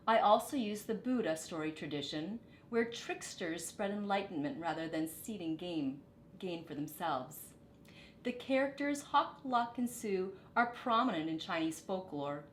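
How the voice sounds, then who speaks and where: A woman reading a children's story aloud with expressive, dramatic phrasing. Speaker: storybook reader. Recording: echoey room, faint low rumble.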